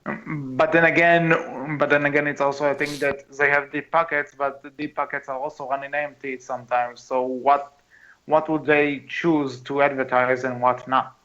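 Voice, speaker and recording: A man co-hosting a podcast in casual discussion, speaking in a hesitant, halting way. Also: mid-range voice, flat delivery, sharp tone. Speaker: podcast co-host. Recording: dull, muffled lo-fi mic.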